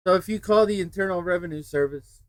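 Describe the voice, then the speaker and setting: A man's voice: sits high, fast, dry recording. A man from the United States streaming a game, chatting casually and drifting off into digressive stories. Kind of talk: casual rambling chat while streaming a game.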